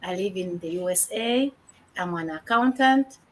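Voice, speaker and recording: A woman's voice: lowish voice, expressive, moderate pace, a bit bright. A woman, co-hosting an online business show with an enthusiastic welcome. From Uganda. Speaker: co-host.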